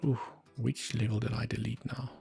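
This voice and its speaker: A man's voice: medium pitch, little pitch movement, moderate pace, creaky, darkish tone, soft background music. A man with a South African accent and Afrikaans as his first language, hosting a live trading stream and thinking aloud over the charts with muttered asides. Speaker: livestream trading host. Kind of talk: live market analysis commentary.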